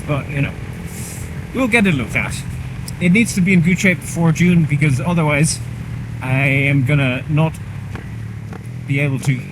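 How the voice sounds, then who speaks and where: A man chatting casually to the camera while riding a motorcycle, rambling and poking fun at himself, recorded on a helmet mic. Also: a little high. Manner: chatty, rambling, self-mocking. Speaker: motorcycle vlogger. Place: on a motorcycle on a country road outdoors. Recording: helmet mic with clear engine noise. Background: engine and wind noise.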